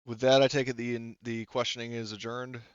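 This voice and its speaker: A man's voice: medium pitch, level tone, brisk, smooth, dry recording, dull audio. A man running a tabletop roleplaying game, narrating and explaining in a casual, informal way. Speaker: game master.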